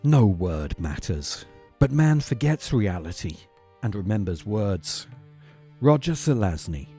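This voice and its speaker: A man's voice: on the low side, very animated, takes it slowly, dark tone, husky, soft background music. A man reading quotations aloud in a dramatic, declaiming way, then naming the author and book. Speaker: quotation reader.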